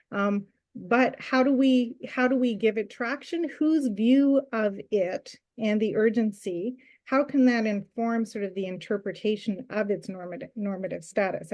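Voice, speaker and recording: A woman from Canada giving measured, earnest academic remarks on a webinar panel over a video call, with occasional fillers. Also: mid-range voice, mellow.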